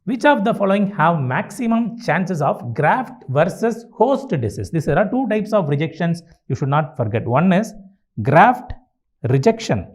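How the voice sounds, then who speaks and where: A man with an Indian accent and a fairly high voice teaches as a lecturer. He is didactic, posing questions, answering them himself and repeating the key phrases for his students. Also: very animated, a little slow, husky. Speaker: medical lecturer teaching exam review. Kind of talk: exam-review lecture.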